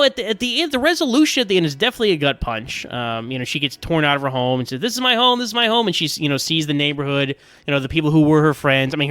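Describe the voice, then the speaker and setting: A man's voice: fairly high pitch, sharp tone, no room sound. A man giving casual, rambling episode commentary as a podcast host, often stammering over repeated words.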